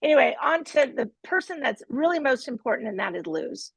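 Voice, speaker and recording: A woman's voice: high-pitched, sing-song, a little fast, creaky, slightly rough, no echo. A woman hosting a live online master class from her home studio, with a playful, teasing manner. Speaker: host and team leader.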